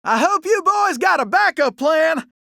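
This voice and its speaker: Casting: young gunslinger outlaw for a video game. Very high, animated voice, Old West Southern drawl, boastful and gallant. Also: male voice, measured pace, gravelly, no echo, studio quality, exaggerated voice. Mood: cocky, taunting. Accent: American Old West Southern drawl. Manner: folksy, exclamatory, boastful cowboy bravado.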